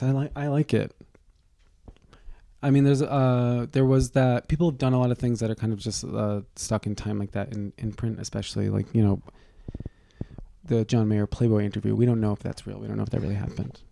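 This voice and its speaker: Podcast co-host, gravelly and relaxed voice, casual sarcastic comedic riffing. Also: medium pitch, a little fast, dry recording, studio quality.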